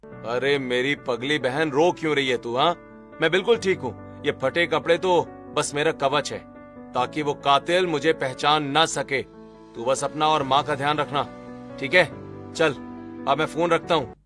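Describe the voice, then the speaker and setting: A man's voice: fairly high pitch, a little slow, a bit bright, soft background music. A man playing a young husband in a cartoon story, a secret billionaire posing as a poor labourer. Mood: soothing. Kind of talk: Hindi cartoon story acting.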